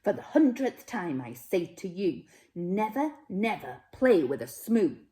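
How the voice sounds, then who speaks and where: A cross old grandfather from another planet, acted out by a woman reading a storybook. He scolds slowly and emphatically, with big swings in pitch. Every warning is stern and disapproving. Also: big pitch swings, dark tone. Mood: angry, scolding. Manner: emphatic, slow scolding repetition.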